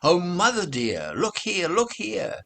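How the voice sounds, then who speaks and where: A man plays tiny storybook kittens, pitched far above a grown voice. The delivery is childlike, sing-song and pleading, swinging widely between words and drawn-out mews. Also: huge pitch swings, vocal fry, bright, forceful tone, heavily acted. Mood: eager, excited. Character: whimpering little kittens pleading and meowing to mother.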